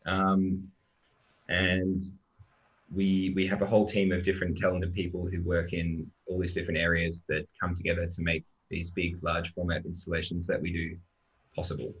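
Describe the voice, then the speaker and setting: A man presenting his team's software work on a live-stream, relaxed and chatty with lots of ums. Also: low-pitched, moderate pace, highs cut off. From Australia.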